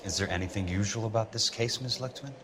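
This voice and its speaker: An actor playing a tough, skeptical American insurance investigator in a noir video game, brusque, dry and sardonic. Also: male voice, lowish voice, fast talker, fairly bright tone, slight background noise, natural voice. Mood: wry, probing. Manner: blunt, dry, probing.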